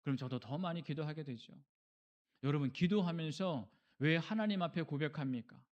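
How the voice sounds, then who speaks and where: A man's voice: fairly high pitch, normal speed, a little mellow, muffled recording. A man preaching a sermon as a pastor, earnest and exhorting, acting out short bits of dialogue. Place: church service.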